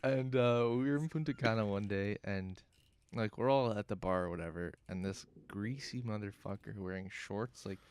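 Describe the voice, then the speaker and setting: A man's voice: fairly low pitch, moderate pace, darkish tone, a little hiss or hum. A man from the Dominican Republic, co-hosting a podcast in casual, joking, crude banter. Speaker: podcast co-host. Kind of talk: casual chat. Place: basement.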